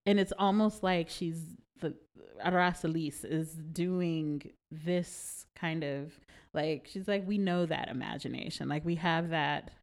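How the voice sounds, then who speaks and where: A woman guest discussing a poem in a relaxed conversation, thoughtful and laughing often, with many fillers. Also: low-pitched, unhurried. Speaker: guest poet and scholar.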